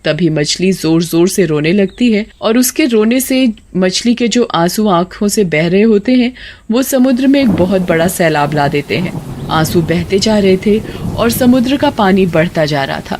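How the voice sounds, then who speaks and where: A woman's voice: mid-range voice, animated, normal speed, noisy background. A woman reading scripted narration for a children's moral story as the storyteller.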